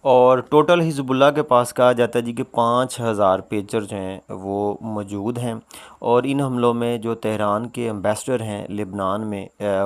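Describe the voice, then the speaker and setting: A man presenting informal, explanatory news commentary, often pausing mid-sentence and leaning on repeated filler phrases. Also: fairly low pitch. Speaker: presenter of a world news roundup.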